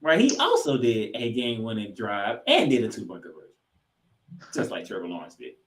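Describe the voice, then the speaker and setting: A man co-hosting a sports podcast, recapping football and reading stats with emphatic, drawn-out key figures. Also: on the low side, very animated. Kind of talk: football recap commentary with stat read-outs. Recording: echoey, roomy sound.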